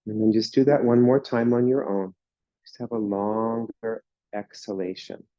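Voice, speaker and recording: A man guiding a yoga class through slow breathing and relaxation, speaking in calm, soothing instructions. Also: lowish voice, no room sound, lo-fi sound. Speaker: yoga teacher.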